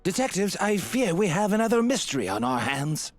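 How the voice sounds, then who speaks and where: A man's voice: sits high, sing-song, brisk, soft background music. A man playing an anxious, devoted nobleman in a video game, speaking with earnest, melodramatic urgency. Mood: troubled, urgent. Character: anxious, devoted nobleman fearing for his wife. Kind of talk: theatrical game cutscene acting.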